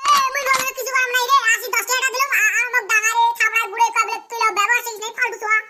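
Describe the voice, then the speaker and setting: A performer voicing a cheeky, squabbling cartoon village boy with an artificially pitched-up squeaky voice and a northern Bengali accent. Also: little pitch movement, very fast, bright, forceful tone, full character voice. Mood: panicked. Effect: pitch-shifted up. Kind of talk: comic cartoon dialogue in rural dialect.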